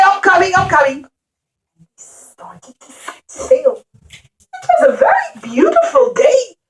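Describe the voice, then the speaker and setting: High voiced lady doing storytime for little kids, slow, explains everything simply. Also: reverberant, poor audio. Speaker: storyteller, puppeteer. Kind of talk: storytelling to young children.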